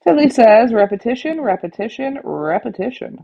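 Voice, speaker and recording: A woman's voice: fairly high pitch, very animated, normal speed, creaky, dull audio. Stuffed-up woman reading viewer comments. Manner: laughs often, reads comments aloud. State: stuffed up with a head cold.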